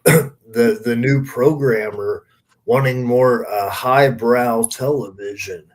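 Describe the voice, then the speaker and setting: A man's voice: mid-range voice, a little mellow, rough recording. A man co-hosting a casual podcast, explaining bits of history in conversation. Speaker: podcast co-host.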